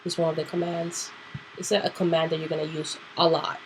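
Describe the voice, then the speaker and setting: A woman hosting a casual computer tutorial, nasal and clearing her throat because she is sick, thinking aloud with fillers. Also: sits low, level tone, fairly quick, noisy background. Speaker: tutorial host. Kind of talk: casual screen-recorded tutorial.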